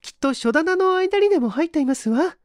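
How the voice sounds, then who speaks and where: A man voicing a gentle, refined young lady with polite feminine speech in a story reading. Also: extremely high pitch, animated, brisk, dry recording, studio quality, pitched above the performer's own voice. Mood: gentle, coaxing.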